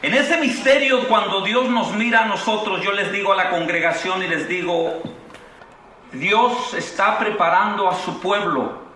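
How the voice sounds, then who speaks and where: A man's voice: high-pitched, unhurried. A middle-aged Mexican man with a Mexican Spanish accent, preaching a sermon at a convention, moving from fervent proclamation to easygoing anecdotes. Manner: impassioned preaching with rising exclamations, then relaxed storytelling. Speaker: pastor. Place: large convention hall. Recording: echoey hall from far off.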